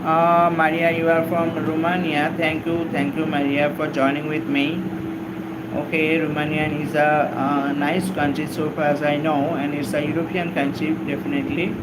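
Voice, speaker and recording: A man with a Bangladeshi accent and a fairly high-pitched voice hosts a live stream. He alternates reading news with greeting chat viewers, halting and repeating himself. The recording is very noisy, with a heavy hum behind him. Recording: very noisy, steady background hum.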